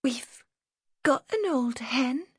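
Woman voicing a timid little girl, high and soft. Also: sing-song, takes it slowly, no echo, clean studio sound, heavily acted. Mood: timid, pleading. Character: small hesitant little girl, meek and pleading.

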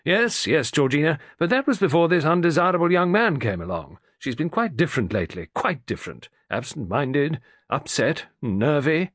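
A man's voice: on the high side, very animated, normal speed, hardly any pauses, a bit bright, no room sound, clean studio sound, voice pushed up. Man doing a grumpy little dentist, raised and peevish. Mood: irritable. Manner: peevish, complaining. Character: small, pugnacious, grumpy middle-aged male dentist.

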